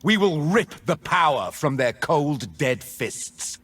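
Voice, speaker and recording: Video game rebel mage, escaped prisoner, male: rough-voiced, dramatic, deliberate, with big swings in pitch. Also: big pitch swings, a bit bright, exaggerated voice. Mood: menacing. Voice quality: husky, rough. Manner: dramatic, deliberate, menacing delivery. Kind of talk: theatrical video game voice lines.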